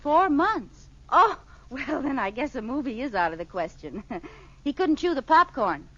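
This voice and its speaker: Scatterbrained housewife, vintage radio sitcom. High, animated, coy; noisy old broadcast sound. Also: female voice, unhurried, lo-fi sound, full character voice. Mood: breezy confidence. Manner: theatrical, coy, mock-innocent comic delivery. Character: scatterbrained, nosy, fibbing young suburban housewife. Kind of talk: 1940s radio sitcom acting.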